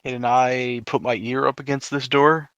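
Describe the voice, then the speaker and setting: A man's voice: medium pitch, little pitch movement, a little slow, a bit bright, clean tone, no room sound, dull audio. A man chatting casually as a player in a tabletop role-playing game.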